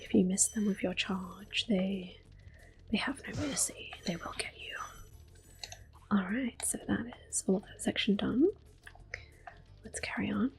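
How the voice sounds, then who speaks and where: A woman guides listeners through a video game in a hushed, breathy whisper. Her pace is unhurried and her tone stays gentle and chatty, with a few brief exclamations when something goes wrong. Her pitch sits at an ordinary middle height. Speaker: gaming ASMR host. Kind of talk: soft whispered game commentary.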